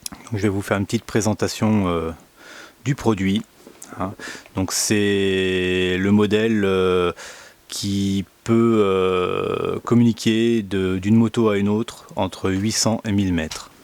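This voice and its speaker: A man giving a casual product demonstration indoors, speaking in a hesitant, halting way with many pauses. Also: low-pitched, level tone, darkish tone. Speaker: product reviewer.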